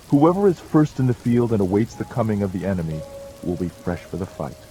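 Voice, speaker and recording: A man reading a dramatized audiobook as narrator, in a solemn, measured and declamatory manner. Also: lowish voice, dark tone, poor audio. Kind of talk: dramatized scripted narration of an ancient treatise.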